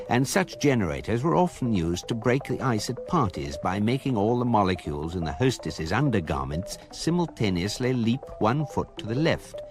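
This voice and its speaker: Comic radio narrator: deadpan, urbane Englishman, lowish yet expressive, music underneath. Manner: deadpan, articulate, drily authoritative. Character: urbane, wry male narrator of a galactic guidebook.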